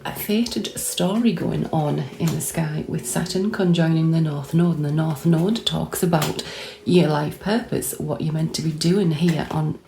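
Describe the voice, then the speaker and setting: A woman, hoarse from a lost voice, giving a chatty tarot card reading and thinking aloud as she pulls cards. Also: sits low, measured pace, dark tone, rough recording. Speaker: tarot reader.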